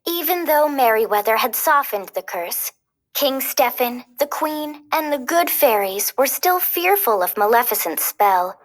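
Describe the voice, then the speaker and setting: Lady reading a kids storybook, kinda high voice, takes her time, very expressive. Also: unhurried. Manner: expressive, clearly paced. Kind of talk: children's storybook read-aloud.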